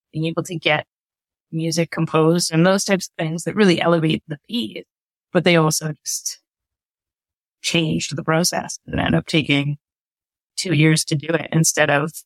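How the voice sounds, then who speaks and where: A woman with a slightly low voice talks casually as an interview guest. She is candid and wry, often slipping into imagined quotes, and is recorded dry with no room sound. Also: no echo, low-quality recording. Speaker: interview guest, audio drama creator. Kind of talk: casual chat.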